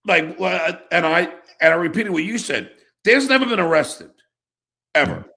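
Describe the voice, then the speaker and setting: Animated man ranting in a high, gravelly voice. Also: normal speed. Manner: foul-mouthed, rambling, repeats himself. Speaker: show host. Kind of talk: casual ranting talk show chat.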